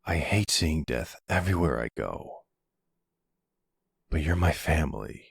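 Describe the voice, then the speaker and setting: A man playing a protective, doting middle-aged mafia boss in an intimate roleplay, speaking slowly with pet names in a gruff, husky voice. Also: animated, no room sound, clean studio sound, exaggerated voice. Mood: solemn, protective. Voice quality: low gravelly, husky. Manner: slow, affectionate, uses pet names. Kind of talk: intimate ASMR boyfriend roleplay.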